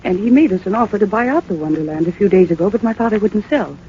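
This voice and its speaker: A woman's voice: medium pitch, animated, fast, warm tone, lightly acted. An actress playing an earnest, polite young woman, an owner's daughter, in a 1940s radio drama. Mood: earnest, anxious. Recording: muffled 1940s radio recording, faint noise.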